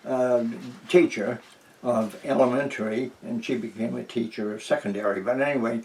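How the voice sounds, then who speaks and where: A man's voice: mid-range voice, steady tone, moderate pace, darkish tone, distant mic, low-quality recording. A man in his nineties from New Hampshire, recalling his life in a home oral history interview, rambling with many restarts and fillers. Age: 90s. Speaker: interviewee, veteran.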